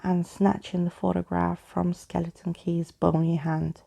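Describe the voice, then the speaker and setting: A woman reading a story aloud in a soft-spoken ASMR style, as a storyteller. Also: on the low side, flat delivery, a little slow, gravelly.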